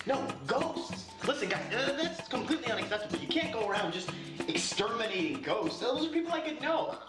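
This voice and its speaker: A man's voice: extremely high pitch, sing-song, brisk. A man playing a touchy, sarcastic ghost who is a casual young roommate in a student TV sitcom. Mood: indignant. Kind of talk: student TV sitcom acting with narration. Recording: roomy sound with loud music behind.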